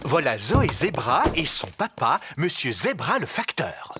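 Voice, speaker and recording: A man's voice: on the high side, big pitch swings, measured pace. A man narrating a scripted children's cartoon like a storybook, with music behind him. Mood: storytelling.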